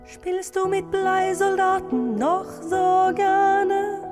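This is a woman singing as a mother crooning poems to her child in a high, lilting voice. She sings slowly and softly, with clear music playing along. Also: full character voice. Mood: wistful. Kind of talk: sung poem.

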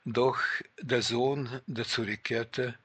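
An older man calmly recalling his childhood and his parents in a personal testimony. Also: mid-range voice, takes it slowly, breathy edge.

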